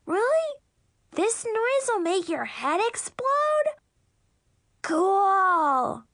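A woman voicing an excitable, mischievous little sister in a storybook, gleeful and singsong. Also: extremely high pitch, drawn-out words, dry recording, studio quality, voice pushed up, full character voice. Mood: gleeful mischief.

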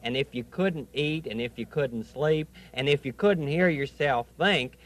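A man's voice: mid-range voice, a little slow, lightly acted. A man playing a fussy, easily bothered male owl puppet in a children's show, with a folksy, insistent manner. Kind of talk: children's television puppet play.

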